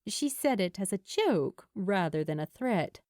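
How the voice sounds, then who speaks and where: Female story narrator, unhurried and animated, mid-pitched, dry recording. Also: no echo. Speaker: fan fiction narrator.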